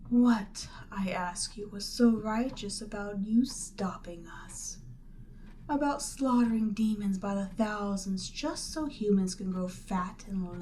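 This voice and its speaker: A woman voicing a seductive, imperious demon queen in an audio roleplay, speaking deliberately and theatrically, with breathy near-whispered phrases. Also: medium pitch, mellow, slight background noise, lightly acted. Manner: slow, deliberate, theatrical, persuasive. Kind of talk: roleplay audio drama, ASMR monologue.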